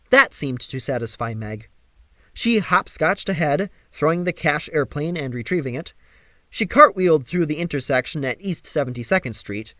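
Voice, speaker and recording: Lively, higher-pitched male audiobook narrator. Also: no room sound. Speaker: audiobook reader. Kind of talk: expressive scripted narration of a young-adult fantasy novel.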